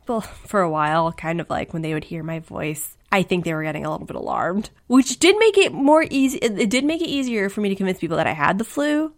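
A woman co-hosting a casual podcast, chatty and quick to laugh, her voice just back after losing it to the flu. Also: medium pitch, sing-song. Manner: chatty, laughs often, self-deprecating. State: recovering from flu, voice recently lost. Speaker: podcast co-host. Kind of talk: casual chat.

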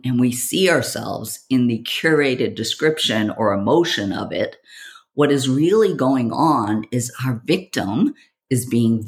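A woman hosting a podcast, delivering a scripted monologue in a theatrical, provocative way with deliberate phrasing. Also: very deep voice. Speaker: podcast host.

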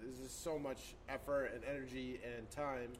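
A man's voice: medium pitch, fairly even intonation, moderate pace, background noise. A man of about twenty-five telling how his businesses started, in an upbeat, hands-on way. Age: 20s. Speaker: business co-founder guest. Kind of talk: casual podcast chat.

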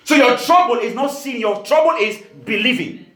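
A man's voice: very high voice, expressive, normal speed, pushed and bright. A man from Cameroon preaching a sermon as pastor, emphatic, with laughing asides. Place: church hall. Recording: echoey church hall.

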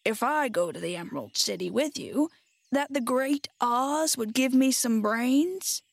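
A woman voicing a friendly, simple stuffed scarecrow man from a storybook, in a husky voice with slow, polite, drawn-out speech. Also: on the high side, animated, dry recording, exaggerated voice. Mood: earnest, confiding.

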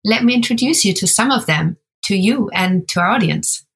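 A woman co-hosting a podcast, speaking in a measured, explanatory way. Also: mid-range voice, lively intonation, slightly rough. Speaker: podcast co-host. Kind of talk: scripted podcast narration.

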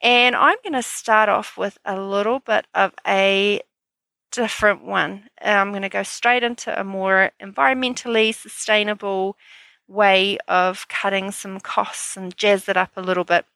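A woman's voice: mid-range voice, fairly even intonation, measured pace, gravelly, bright, forceful tone, dry recording. Woman podcast host, kinda stuffy nose from hay fever, chatty and upbeat, dry close mic. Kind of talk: solo business podcast talk.

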